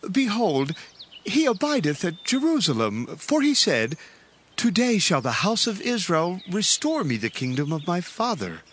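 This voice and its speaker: An actor playing a deferential, ingratiating servant in a dramatized audio Bible, with a humble and formal manner. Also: male voice, sits high, huge pitch swings, a little slow, fairly bright tone, slight background noise, exaggerated voice. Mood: reporting. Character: deferential, ingratiating male servant bringing gifts.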